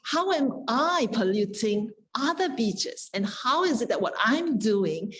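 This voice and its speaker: A woman speaking as a panelist, reflecting in a measured, contemplative way with deliberate pauses. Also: fairly high pitch, big pitch swings, a little hiss or hum. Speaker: panelist, Buddhist practitioner.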